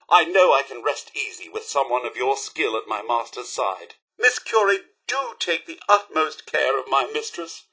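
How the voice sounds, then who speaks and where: An actor playing a fussy, loyal robot butler in a video game, with a British accent and prim, formal, theatrical enunciation. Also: male voice, fairly high pitch, pushed and bright, slightly rough, exaggerated voice, poor audio. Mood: formal, gracious. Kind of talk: scripted video game voice lines.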